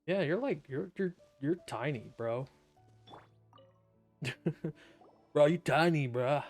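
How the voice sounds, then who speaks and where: A man's voice: a little high, animated, takes it slowly. A man casually commenting and joking while streaming a game. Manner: laid-back, joking, slangy. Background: game music.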